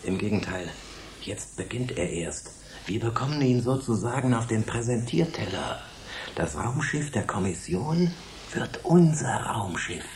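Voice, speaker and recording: A man's voice: lowish voice, sing-song, a little slow, darkish tone, distant mic, slight background noise. A man acting a cool, decisive spaceship pilot leading an escape in a German radio play. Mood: determined.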